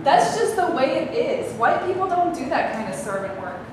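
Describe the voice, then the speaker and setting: A woman's voice: sits high, very animated, moderate pace. A woman playing a haughty, tactless bit-part actress in a staged play reading, snide and brash. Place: indoor room, live staged reading. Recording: distant mic, echoey noisy room.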